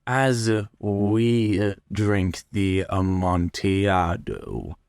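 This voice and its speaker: A man's voice: lowish voice, very slow, a little mellow, clean tone, no room sound, clean studio sound. A man voicing a doomed, trusting man in a dramatic story reading, with hesitant, broken phrasing. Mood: nervous, pleading. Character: doomed, tipsy, trusting man, stammering and increasingly desperate.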